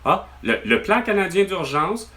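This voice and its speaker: A man's voice: high voice, very animated, measured pace, sharp tone. A man with a Québécois accent, venting to his mother on a phone call, rambling and exasperated, swearing often. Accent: Québécois French. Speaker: vlogger.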